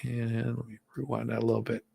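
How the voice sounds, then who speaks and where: A man's voice: mid-range voice, completely flat delivery, a little fast, vocal fry, loud music behind the voice. A man chatting casually on a livestream in a rambling, hesitant way as the host.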